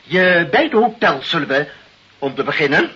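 A blustering, self-important male official who preaches and stumbles over repeats. His voice is rather high and forceful, with big swings of pitch. The recording is an old, muffled radio play. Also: very animated, slightly rough, exaggerated voice. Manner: pompous, preachy, halting repetitions. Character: pompous, blustering male government director, stern official. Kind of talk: Dutch radio play acting.

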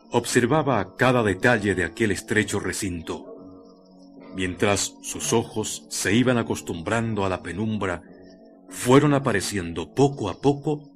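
Deep-toned male storyteller over music. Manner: measured, literary storytelling. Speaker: narrator. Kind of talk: scripted radio drama narration.

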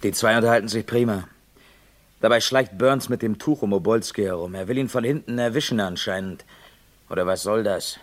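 A man's voice: on the low side, a little fast, close to an everyday voice. An actor playing a brash, impatient reporter in a German crime radio play, bossy and slangy as he gives an urgent account. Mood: tense watchful. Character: brash, impatient male American reporter narrating live.

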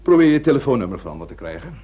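An actor playing a blunt but courteous police inspector in a radio play, dryly and persistently questioning. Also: male voice, medium pitch, big pitch swings, very fast, warm tone, slightly rough. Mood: firm, reluctant.

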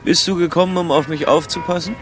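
A man's voice: fairly high pitch, steady tone, fast, music in the background, natural voice. An actor playing a weary, laconic private detective in his thirties in a German radio drama. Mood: wary.

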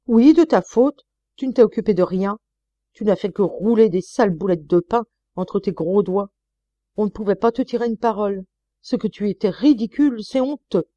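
A woman's voice: moderate pace, warm tone, no echo, lightly acted. A woman reading aloud and voicing a sour, scolding society wife who reproaches sharply, on a slightly muffled recording. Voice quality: shrill, reproachful.

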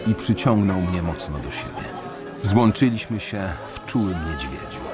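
An earnest young bank worker. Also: male voice, low voice, expressive, normal speed. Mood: reflective. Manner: earnest, reflective narration. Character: young male bank employee, defiant, narrating his story. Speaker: actor and narrator in radio drama. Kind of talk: radio drama narration. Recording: radio play with music beneath.

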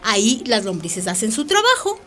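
Una mujer que interpreta en un radioteatro a una vecina alegre y práctica, que explica las cosas de forma coloquial. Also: female voice, a little high, moderate pace. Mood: encouraging, instructive. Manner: animated, instructive, colloquial. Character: cheerful, practical neighborhood woman teaching vegetable gardening. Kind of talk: radio drama acting.

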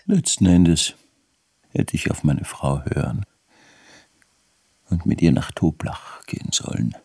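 An actor playing a bitter, obsessive man brooding haltingly over his failed life's work in a radio drama monologue, often dropping toward a whisper. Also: rumbling low, vocal fry, mellow, husky, heavily acted. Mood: regretful, despairing.

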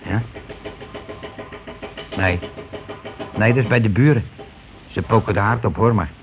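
An actor playing a scheming, money-grabbing husband and landlord in a radio play, talking in wheedling, self-justifying colloquial patter. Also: male voice, fairly low pitch, unhurried. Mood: wary, alert. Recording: noisy old radio drama recording.

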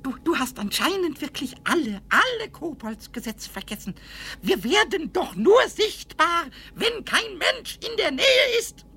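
A man voicing a cheeky, excitable little kobold in a 1970s children's radio play, stammering and repeating words. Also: very high-pitched, measured pace, vocal fry, exaggerated voice. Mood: indignant, insistent. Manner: stammering, breathless word repetitions.

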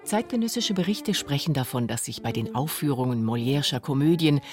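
A man's voice: mid-range voice, animated, fairly quick, over music. A man reading scripted documentary narration for a radio feature. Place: radio studio.

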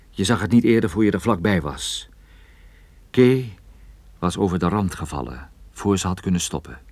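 An actor playing a cool private detective narrating his own case in measured radio play style. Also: sits low, no echo. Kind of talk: scripted first-person radio play narration.

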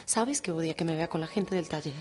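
A troubled young wife in a radio drama. She confides in a slightly low female voice. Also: fairly quick, darkish tone, breathy edge. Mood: evasive, confiding.

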